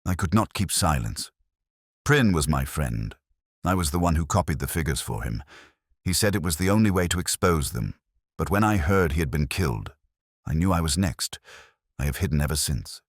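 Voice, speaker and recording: A man performs an anxious young male clerk in a storybook mystery. He confesses earnestly in a low, slightly husky voice, and his pitch moves about a lot. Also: animated, moderate pace, dry recording, studio quality. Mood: frightened, confessing. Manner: nervous, confessional. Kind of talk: dramatic audiobook character reading.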